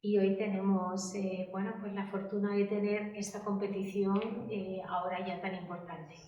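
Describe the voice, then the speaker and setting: A woman's voice: medium pitch, level tone, normal speed, mellow. Distant, echoey middle-aged woman. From Madrid, Spain. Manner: hesitant, many fillers and restarts. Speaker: interviewee. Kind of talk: oral history interview. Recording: echoey room, distant mic, dull sound.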